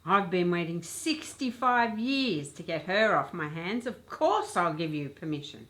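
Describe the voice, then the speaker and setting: A woman playing a cantankerous, sharp-tongued mother of nearly seventy in a comic radio soap, grumbling and blunt. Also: fairly low pitch, big pitch swings, a little slow. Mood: grudging.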